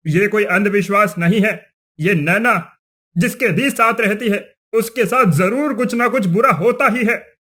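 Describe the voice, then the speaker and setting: A man's voice: sits high, sharp tone, heavily acted. A man playing an accusing, superstitious village chief in a cartoon story, speaking insistently. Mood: warning. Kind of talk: Hindi cartoon story acting.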